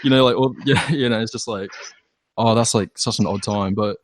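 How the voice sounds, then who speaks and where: A man's voice: fairly low pitch, moderate pace, dry recording. A young man, chatting in a loose, slangy way that often trails off. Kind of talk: casual group podcast chat.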